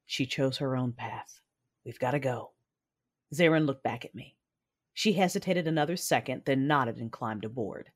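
A woman playing a grave, reserved warrior, narrating an audio drama in measured, formal, literary language. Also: very deep voice. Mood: solemn, restrained.

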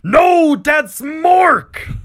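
A man voicing rowdy, dim, quarrelsome orc underlings in a fantasy story, with blunt and crude shouted speech. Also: fairly high pitch, wildly swooping pitch, very slow, vocal fry, breathy edge, dry recording, studio quality, pitched above the performer's own voice, full character voice. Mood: furious. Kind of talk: acted storytelling of fantasy fiction.

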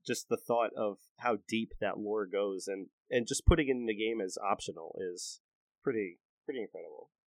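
Male podcast co-host, lowish voice, dry sound, halting thoughtful chat. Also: dry recording, low-quality recording. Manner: halting, searching for words. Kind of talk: casual chat.

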